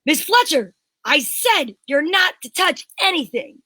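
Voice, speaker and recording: A woman's voice: sits high, big pitch swings, a little slow, sharp tone, heavily acted. A woman playing a bossy, fussy police inspector who gives scolding, emphatic orders in an amateur old-time radio mystery.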